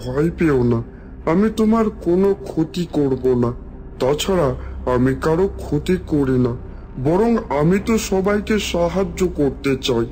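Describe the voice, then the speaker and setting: A man playing a kindly, helpful talking tree held captive in a cartoon fairy tale, calm and plaintive. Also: a little high, a little slow, poor audio. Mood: reassuring.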